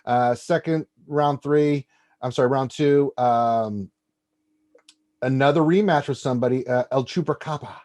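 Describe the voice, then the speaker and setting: A man's voice: medium pitch, unhurried. A man co-hosting a casual gaming stats show, joking and teasing as he reads out results. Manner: jokey, teasing, animated delivery. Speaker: co-host.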